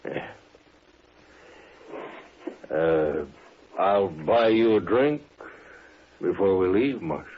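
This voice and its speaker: An actor playing a remorseful, proud, plain-spoken man in a radio western, American, halting and terse. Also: deep voice, animated, slight background noise, heavily acted. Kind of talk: old-time radio western acting. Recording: dull old radio recording.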